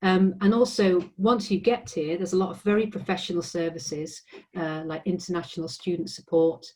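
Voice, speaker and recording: A woman's voice: lowish voice, steady tone, normal speed, darkish tone, poor audio. A woman with an English accent, a university admissions representative giving an informational presentation with frequent ums and restarts. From England.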